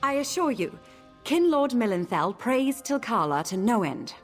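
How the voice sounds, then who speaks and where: A woman's voice: a little high, big pitch swings, normal speed. An imperious elf noblewoman. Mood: indignant. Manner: imperious and indignant. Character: proud indignant female elf noblewoman, imperious. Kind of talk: video game voice acting. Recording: game audio with faint music.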